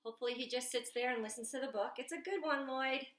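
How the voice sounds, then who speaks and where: A woman, a children's librarian, hosting a story time from a living room, talking to children in a slow, animated way. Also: sits high. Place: home living room with a couch. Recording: echoey room.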